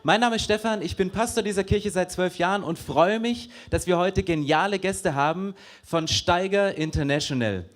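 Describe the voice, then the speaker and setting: A pastor welcoming guests to his church, switching between German and English with quick apologetic asides. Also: sits high. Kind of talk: welcome speech at a church event. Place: church hall.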